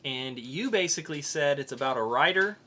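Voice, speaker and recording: A man's voice: on the high side, lively intonation, fairly quick, bright, forceful tone, a little hiss or hum. A man hosting a casual podcast discussion about comic books. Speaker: podcast host.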